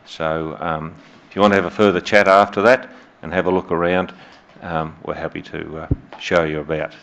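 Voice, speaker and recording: A man with an Australian accent chairing a council meeting as mayor, measured and hesitant with many fillers, recorded on dull lo-fi room audio. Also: low-pitched, fairly even intonation, gravelly, fairly bright tone. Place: council chamber.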